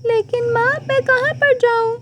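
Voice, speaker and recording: A woman's voice: very high voice, level tone, a little slow, heavy background noise, voice pushed up. A woman voicing a sweet, naive, hungry young runaway girl in a cartoon fairy tale. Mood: timid, sweet.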